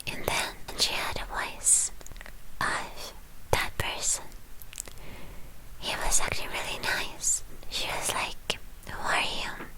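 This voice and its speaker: A woman telling a story in a breathy ASMR whisper, gentle and soothing, with pauses between short phrases. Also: poor audio.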